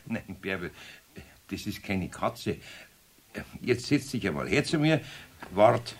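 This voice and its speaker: Casting: older Bavarian craftsman for children's radio play, husky, very animated, grumbly yet kind. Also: on the low side, takes it slowly, full character voice. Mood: kindly. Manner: grumbling, colloquial, expressive. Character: grumbly but kindly older male master craftsman.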